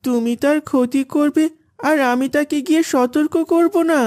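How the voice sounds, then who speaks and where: Woman as a high-voiced defiant servant boy. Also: moderate pace, a little mellow, lightly acted. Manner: firm, defiant. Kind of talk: animated cartoon dubbing.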